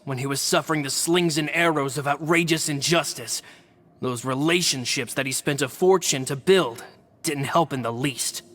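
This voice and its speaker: A man playing a dutiful guild member in a game, measured and formal. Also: a little high, a bit bright, a little hiss or hum, close to an everyday voice. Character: guild man leading his own men, dutiful.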